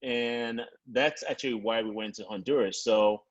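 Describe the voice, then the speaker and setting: A man with a Nigerian accent hosting a casual interview on a video call. He talks in a relaxed way and often repeats a word while he gathers his thoughts. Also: fairly low pitch, normal speed, dull audio. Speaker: podcast host.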